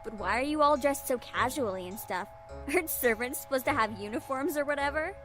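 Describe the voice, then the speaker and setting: A blunt, excitable young woman in an anime-style game, played by an actress. Her voice is high and informal. Light game music plays underneath. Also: brisk. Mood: blunt, eager. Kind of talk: anime-style visual novel game acting. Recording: game music and jingles behind.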